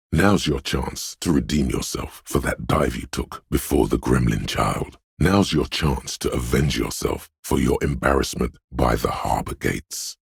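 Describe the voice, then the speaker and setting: An actor voicing a video game's primal fight-or-flight instinct, a menacing, paranoid inner voice that goads in a deep, gravelly rasp, slow and ominous. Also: male voice, no echo, studio quality, full character voice. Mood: goading aggression. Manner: slow, ominous, goading, profane. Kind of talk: dramatic video game voice acting, second-person inner voice.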